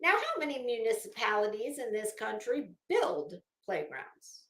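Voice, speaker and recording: Woman, higher-pitched voice, casual livestream commentary, blunt and sardonic, roomy echo. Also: sing-song, a little slow, fairly bright tone. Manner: blunt, sardonic, salty-tongued. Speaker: livestream host. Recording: echoey room.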